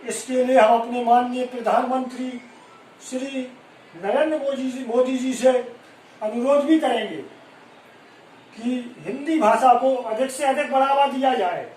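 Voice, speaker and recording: A performer playing a teacher in an amateur skit, speaking in a measured, encouraging way. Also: sits high, darkish tone. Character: teacher listening to a student. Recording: echoey room, distant mic, faint hiss.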